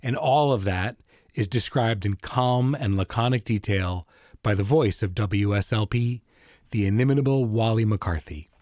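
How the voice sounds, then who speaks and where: A man's voice: fairly low pitch, moderate pace, a little mellow, no room sound, clean studio sound. A man hosting a podcast, delivering a scripted introduction. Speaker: podcast host introducing an episode. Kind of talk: scripted narration.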